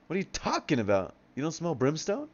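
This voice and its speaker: A small, plucky cartoon creature voiced by a man talks with brash confidence. The delivery is breezy and very animated, made for a comic-book readthrough. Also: fairly high pitch, brisk, highs cut off. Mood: cocky, confident. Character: small cartoon creature, cocky, reassuring little hero.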